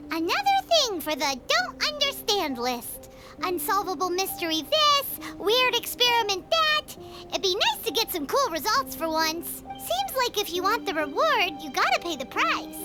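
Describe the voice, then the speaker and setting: Very high, squeaky childlike fairy sidekick. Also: female voice, very animated, unhurried, loud music behind the voice, full character voice. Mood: grumbling. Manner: peppy, exclamatory, refers to herself in third person. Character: small excitable childlike floating fairy companion, female, chatty and cheeky. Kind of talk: anime fantasy video game voice acting.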